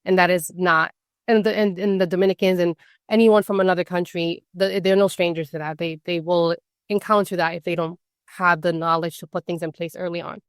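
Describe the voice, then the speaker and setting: Low-voiced woman, thoughtful podcast guest. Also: steady tone, brisk, clear, resonant tone, no room sound, studio quality. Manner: thoughtful, leans on "right?" tag questions and "like" fillers. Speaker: financial social worker guest. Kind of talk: casual podcast interview.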